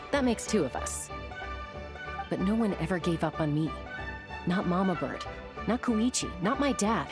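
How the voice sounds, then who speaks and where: An actress voicing a bold, loyal young woman scout who talks with confident, defiant conviction. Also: on the low side. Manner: confident, defiant and warm-hearted. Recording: game soundtrack music behind.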